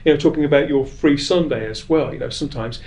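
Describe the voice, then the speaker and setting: A man's voice: medium pitch, fast talker, gravelly, a little mellow. A middle-aged man co-hosting a podcast, chatting casually in a rambling, talkative way. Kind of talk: casual chat.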